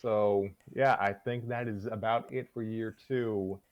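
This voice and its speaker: A tired-sounding man hosting a casual livestream chat, speaking in a droll, low-energy, hesitant way. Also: steady tone, a little slow, no room sound, dull audio. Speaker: host of an online story-reading show.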